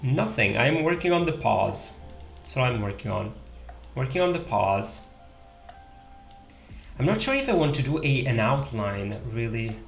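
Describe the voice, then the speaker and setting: Italian man, young adult, live-stream host; rambling chat, roomy echo, soft music beneath. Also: mid-range voice, sing-song, unhurried, creaky, pauses often. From Italy. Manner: chatty, thinks aloud, trailing fillers. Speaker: livestream host. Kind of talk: casual live-stream chat while drawing. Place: small room indoors. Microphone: headset. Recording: echoey room with faint music.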